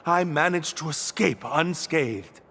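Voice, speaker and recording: A man's voice: a little high, slow pace, pushed and bright, a little hiss or hum, exaggerated voice. An actor playing an earnest, hesitant young man in love, a prisoner in a video game. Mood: earnest, nervous.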